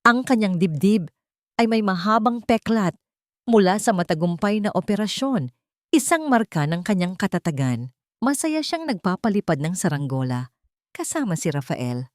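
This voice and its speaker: A woman narrating a scripted sentimental story in a measured, earnest way. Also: medium pitch, sing-song, dry recording, studio quality. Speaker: storyteller, narrator of audio drama. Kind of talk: scripted dramatic first-person narration.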